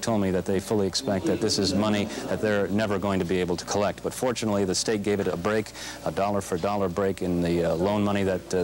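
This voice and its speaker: A man reporting live for television news from a council chamber in a matter-of-fact way. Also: deep voice. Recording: crowd chatter behind, local TV broadcast. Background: crowd murmur.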